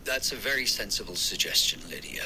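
A man's voice: medium pitch, a little fast, bright, forceful tone, a little hiss or hum. An older man playing an affectionate, proper father in an audio drama. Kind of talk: audio fiction acting.

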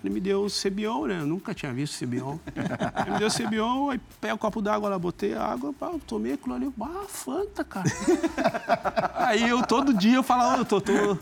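Gravelly high-voiced southern man. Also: moderate pace. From Southern Brazil. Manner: lively anecdote-telling with slang and acted quotes. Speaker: guest, former footballer. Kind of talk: casual storytelling.

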